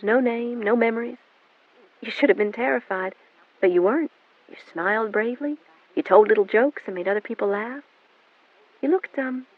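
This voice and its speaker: A woman's voice: medium pitch, pitched above the performer's own voice, lightly acted. A woman voicing a frantic, pleading mother. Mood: flustered. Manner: pleading, rising and breathless. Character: frantic middle-aged mother, pleading, guilt-ridden. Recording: narrow phone-like band, dry.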